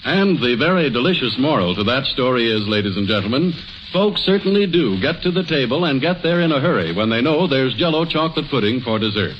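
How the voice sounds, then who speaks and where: Animated old-time radio announcer man. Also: mid-range voice, a little fast. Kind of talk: 1940s radio advertisement narration. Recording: hissy muffled old radio broadcast.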